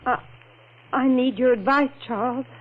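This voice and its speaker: Through a tinny, slightly noisy vintage radio recording comes a young woman in love with a high voice. She is earnest and emphatic, devoted yet strong-willed, in classic 1940s melodrama style. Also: unhurried. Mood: nervous, tender. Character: young, lovestruck woman, devoted and coolly scheming. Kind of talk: 1940s radio horror drama acting.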